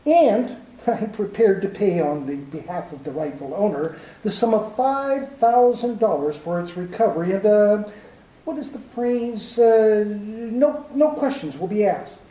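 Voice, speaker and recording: A woman playing a fussy, overly polite male gentleman crook in a radio play, talking in a prim, formal, hesitant way. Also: medium pitch, lively intonation, a little slow, reverberant, far from the mic, natural voice, rough recording. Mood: ingratiating. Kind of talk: amateur 1940s-style radio drama acting.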